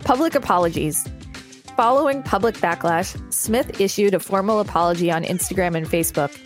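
A woman's voice: medium pitch, a little fast, faint music behind. A woman co-hosting a podcast, moving between reading an article and casual chat. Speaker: podcast co-host.

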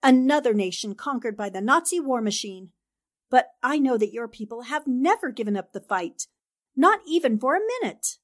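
A woman's voice: fairly high pitch, lively intonation, normal speed. A woman acting an earnest, sympathetic young newspaper reporter in old-time radio drama style. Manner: earnest, sincere.

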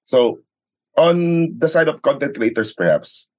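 A man's voice: fairly high pitch, big pitch swings, a little slow. A man with a Filipino accent talking conversationally as a sports commentator being interviewed, often checking for agreement with short tag questions. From Philippines. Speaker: sports announcer and radio commentator, guest. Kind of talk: conversational interview talk in mixed English and Tagalog.

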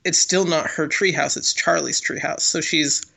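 A man's voice: a little high, fairly even intonation, normal speed, creaky, pushed and bright, slightly rough. A man co-hosting a casual analytical chat, thinking aloud and often seeking agreement. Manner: thinking aloud, checking with "right?". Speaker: podcast co-host.

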